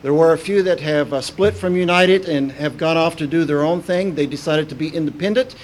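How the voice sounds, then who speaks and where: A man in his forties, raised in Kentucky, opening a sermon as a visiting minister in a halting, informal way full of "uh" and restarts. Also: fairly high pitch, slight background noise, rough recording. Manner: halting, many "uh" fillers and restarts.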